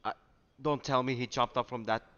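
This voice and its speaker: A man giving casual gameplay commentary as a streamer, halting and repeating his phrases. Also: medium pitch, fairly even intonation, lo-fi sound, a little hiss or hum. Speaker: video game streamer. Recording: loud game music behind.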